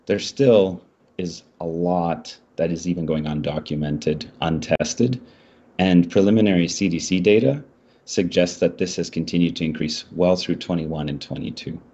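A middle-aged man presents a startup pitch over a video call, speaking in a measured way with fillers and restarts. His voice sits low and slightly husky, with a mellow tone. The audio is muffled, like a typical video call. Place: indoor room.

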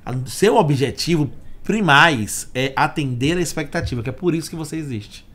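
A man's voice: on the high side, expressive, normal speed, fairly bright tone. A Brazilian man around forty hosting a conversational podcast, explaining points by asking and answering his own questions into a desk microphone. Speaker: podcast host, accountant. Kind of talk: conversational business podcast talk. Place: indoor meeting room with wood panelling. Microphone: desk microphone on stand.

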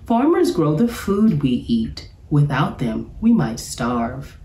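A cheerful storybook bear professor, read by a woman, explains things to children with emphasis and encouragement. The delivery is slow and bouncy in pitch, with a roomy echo. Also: big pitch swings, dark tone, distant mic, heavily acted. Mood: upbeat, instructive. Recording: roomy, echoey sound.

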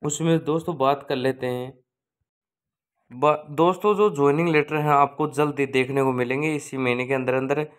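Clear-voiced man giving an informal update. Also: mid-range voice, fairly even intonation, normal speed. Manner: repetitive, filler-heavy phrasing. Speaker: video host giving exam result updates. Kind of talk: informal explainer narration for online viewers.

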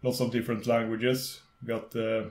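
A man's voice: medium pitch, level tone, normal speed, echoey room. Swedish man rambling through a tech demo. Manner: rambling, many fillers and restarts. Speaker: tech video host. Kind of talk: casual screen-recorded tutorial.

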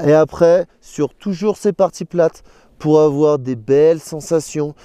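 Dark-toned man explaining ski technique outdoors. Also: fairly high pitch, measured pace, clear, resonant tone, no echo. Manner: explains step by step, in first person. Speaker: ski instructor. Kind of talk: instructional explanation. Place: outdoors on a snowy mountain slope.

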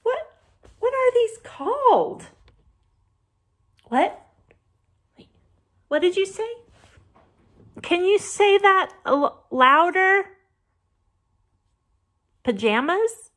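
A woman in her forties hosting a storytime for young children, talking playfully with puzzled, questioning pauses as if forgetful. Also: extremely high pitch, sing-song, fairly bright tone. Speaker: children's library storytime host. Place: small bedroom, indoors.

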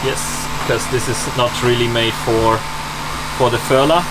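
A man's voice: mid-range voice, fairly even intonation, moderate pace. A young man recording a casual video diary aboard a sailboat at sea. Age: young adult. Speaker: sailing vlogger. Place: aboard a sailboat, cabin and open deck. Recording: loud boat, wind and water noise.

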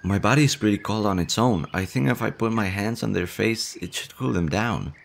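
A man's voice: lowish voice, fairly quick, creaky. A man playing a jittery stammering slime boy. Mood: worried. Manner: stammering, anxious, fretful. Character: nervous shy male slime monster. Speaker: voice actor. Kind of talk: audio roleplay.

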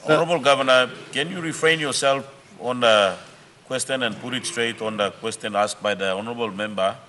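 Brief: fast, bright-voiced man chairing parliament, long chamber reverb. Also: medium pitch, slight background noise. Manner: formal, directive. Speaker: deputy speaker chairing. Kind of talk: chairing parliamentary question time. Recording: reverberant chamber with faint ambience.

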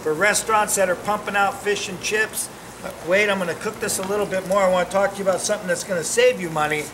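Chatty high-voiced man in a shop kitchen. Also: fairly even intonation, fast talker, background noise. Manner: upbeat, joking, salesman-like chatter. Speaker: fishmonger demonstrating seafood. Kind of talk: casual cooking demo. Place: small shop kitchen indoors.

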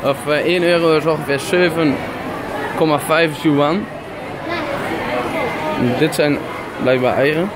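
High-voiced man vlogging in a noisy crowd. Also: steady tone, measured pace, long pauses. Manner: informal, marvelling at oddities. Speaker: travel vlogger. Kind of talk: casual off-the-cuff commentary while filming. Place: large busy indoor supermarket. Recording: very noisy crowded supermarket ambience. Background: loud crowd and store noise.

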